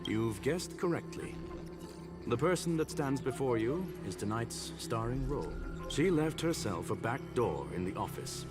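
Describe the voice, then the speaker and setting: An actor playing a composed, analytical gentleman machine in a video game, speaking in formal, measured, eloquent phrases. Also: medium pitch, very animated, music in the background, natural voice. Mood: calm, explanatory. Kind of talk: scripted video game voice acting.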